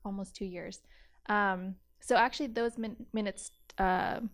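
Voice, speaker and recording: A woman's voice: medium pitch, steady tone, unhurried. A woman in her thirties from California, a youth minister guesting on a podcast, bubbly and giggly with lots of "um" and "like". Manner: bubbly, giggly, filler-heavy. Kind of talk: casual interview.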